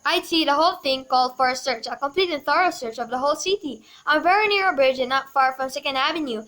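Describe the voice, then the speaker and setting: A high-voiced, nervous invalid wife in a radio play acted by a woman. She speaks in an agitated, insistent way, demanding and easily indignant, as if nobody is taking her seriously. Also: quick pace, a bit bright. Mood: fretful, demanding.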